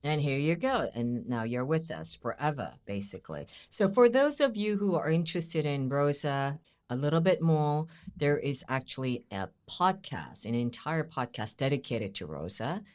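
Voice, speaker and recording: A woman hosting a podcast interview, brisk and playful as she prompts her guest with questions. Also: sits low, big pitch swings, darkish tone. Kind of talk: casual interview chat.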